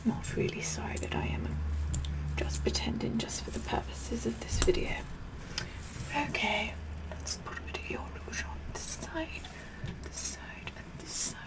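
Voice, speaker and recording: A vain, imperious queen acted out by a woman in an ASMR roleplay, slipping between breathy whispers and soft speech. She talks very slowly in a fairly high voice, repeating words with sassy condescension. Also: huge pitch swings, poor audio. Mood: vain, mock-sweet.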